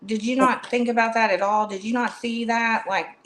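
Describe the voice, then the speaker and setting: A woman's voice: a little high, fairly even intonation, normal speed, fairly bright tone. A woman hosting a casual livestream chat, chatty, with lots of fillers and restarts. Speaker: livestream host.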